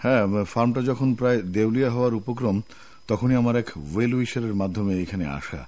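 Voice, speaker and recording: A man with a Bangladeshi accent playing a courteous, firm new office manager in a radio drama, with a husky voice. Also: medium pitch, steady tone, fast talker, darkish tone, close to an everyday voice. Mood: friendly. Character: newly appointed office manager and writer, courteous, firm, devoted son. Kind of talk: Bengali radio drama acting.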